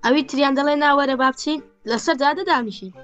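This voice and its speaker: A woman telling a joke in animated storytelling style, acting out sound effects over a video call. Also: high voice, rapid-fire, muffled recording, faint music behind.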